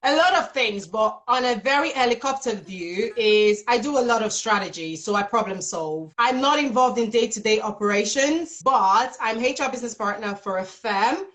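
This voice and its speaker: A Nigerian-accented woman in her thirties talks in a casual live interview, her voice big, energetic and expressive. She sits a little high in pitch for a woman and comes through a phone video call. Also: normal speed, few pauses, rough recording. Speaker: HR business partner and YouTuber.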